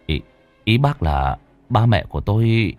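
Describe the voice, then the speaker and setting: A man's voice: on the low side, very animated, measured pace, dry recording, lo-fi sound, soft background music. A man doing a polite, stammering man. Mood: bewildered. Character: puzzled man, polite and hesitant.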